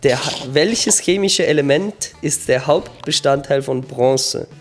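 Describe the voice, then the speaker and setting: A man's voice: medium pitch, measured pace, faint music behind. A man hosting a quiz, reading out questions with casual banter in between. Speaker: quiz host.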